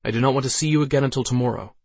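A man's voice: mid-range voice, fairly quick, gravelly, slightly rough, dry recording. Man reading as an imperious female archmage. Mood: proud, commanding. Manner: imperious, cold. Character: commanding, proud female archmage and ruthless mother. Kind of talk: audiobook character voice.

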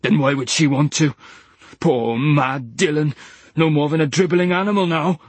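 A bitter, paralysed former pilot snarls and sneers from his hospital bed, as a male narrator voices him. His husky voice is slow and raw. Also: a little high, fairly even intonation, no room sound.

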